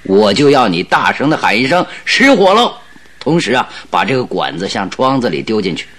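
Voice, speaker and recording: A man's voice: mid-range voice, big pitch swings, moderate pace, a bit bright. An actor playing a clever, confident detective in a radio drama, explaining his schemes in a persuasive, eager way. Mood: confident scheming. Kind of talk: Chinese radio drama acting.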